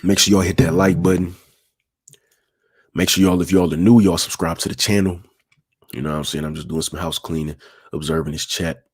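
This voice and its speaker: A young man with a raspy voice, talking in a laid-back, smooth way and repeating himself as he hosts a casual livestream. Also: sits low, fairly even intonation, a little fast, long pauses. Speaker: livestream host.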